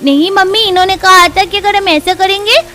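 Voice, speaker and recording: A woman's voice: extremely high pitch, a little fast, bright, forceful tone, faint music behind. A woman voicing an insistent young village child in a cartoon story. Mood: explaining.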